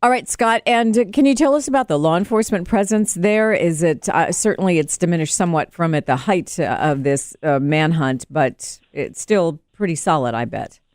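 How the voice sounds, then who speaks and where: A woman's voice: on the low side, normal speed, few pauses, darkish tone, dry recording, clean studio sound. An American woman anchoring live radio news, hosting and handing over to a reporter in an unscripted broadcast style.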